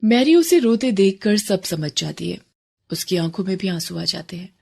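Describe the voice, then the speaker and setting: A woman's voice: mid-range voice, moderate pace, gravelly, dark tone, breathy edge. A woman narrating a scripted moral story for children as a storyteller.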